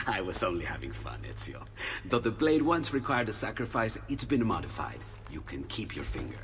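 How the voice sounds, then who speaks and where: An actor playing a friendly, excitable Renaissance inventor in a video game, flustered and animated, with an Italian accent. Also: male voice, lowish voice, unhurried, pauses often, big-room sound, background noise. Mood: playful. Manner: animated, flustered, muttering to himself. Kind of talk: video game cutscene acting.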